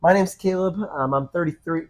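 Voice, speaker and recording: A man's voice: on the high side, fairly quick. A man in his thirties co-hosting a podcast, talking in an easygoing, earnest way with frequent ums and restarts. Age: 30s. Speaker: podcast co-host, pastor. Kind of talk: casual conversational podcast chat.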